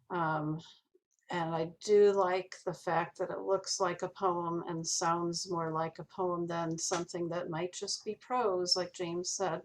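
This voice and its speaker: A woman's voice: lowish voice, poor audio. A woman speaking as a poet in casual discussion, plain-spoken and a little tentative. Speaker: poet answering questions.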